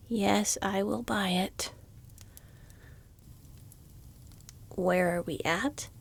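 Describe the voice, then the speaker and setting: A woman's voice: mid-range voice, drawn-out words, vocal fry, slightly rough, exaggerated voice. A woman playing a weary, exasperated shopkeeper in a fantasy audio roleplay, reluctantly polite, with dry, muttered sardonic asides. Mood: exasperated muttering.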